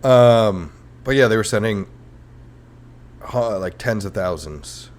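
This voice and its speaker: A man's voice: lowish voice, expressive, slow pace. A man hosting a comedic history podcast in a rambling, sarcastic, self-mocking monologue. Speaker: podcast host.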